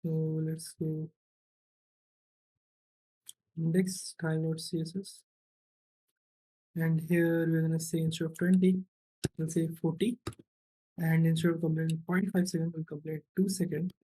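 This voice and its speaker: A man's voice: a little high, level tone, normal speed, mellow, poor audio. A man narrating an informal step-by-step screencast as a programming instructor, often checking in with "right" or "okay".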